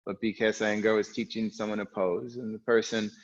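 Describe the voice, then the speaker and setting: A man from the United States with a lowish, strong voice leads a yoga class. He talks calmly and reflectively, with pauses between phrases. The sound is dull and lo-fi. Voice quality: loud, strong voice. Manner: calm, reflective, speaks in unhurried phrases with pauses. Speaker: yoga teacher. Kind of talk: guiding an online yoga class. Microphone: recorded for an online class video.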